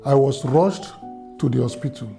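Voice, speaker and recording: A man from Nigeria telling his own story in a slow, halting, earnest way, with music under his voice. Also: mid-range voice, vocal fry. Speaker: fundraiser subject. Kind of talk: personal testimony.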